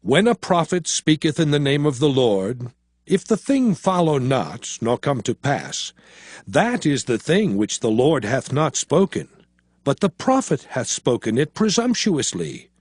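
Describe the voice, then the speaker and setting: An actor playing an aged male prophet and lawgiver, exhorting his people in a solemn, measured way in a dramatized scripture reading. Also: medium pitch, big pitch swings, a little mellow, no room sound, clean studio sound. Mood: solemn exhortation. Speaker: actor in a dramatized audio Bible.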